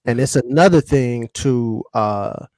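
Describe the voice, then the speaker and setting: A man's voice: medium pitch, slow pace, darkish tone, no echo, studio quality. A man in his forties with an American accent in casual podcast panel chat, speaking in slang and repeating himself for emphasis.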